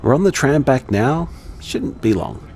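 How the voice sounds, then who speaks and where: A man playing an anxious, tender man confiding in voicemails to his partner in an intimate audio drama, hesitant and earnest, with halting phrasing. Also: mid-range voice, animated, darkish tone, a little hiss or hum. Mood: cheerful, affectionate.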